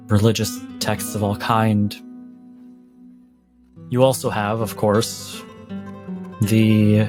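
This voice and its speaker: Slow, low-voiced man as game master. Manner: measured, pausing between short phrases. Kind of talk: slow narration of a tabletop roleplaying game.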